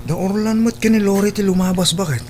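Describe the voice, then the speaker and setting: A man's voice: sits high, fairly even intonation, fast talker, natural voice. Man acting mild Ilocano husband over music. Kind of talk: Ilocano radio drama dialogue. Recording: music behind the voice.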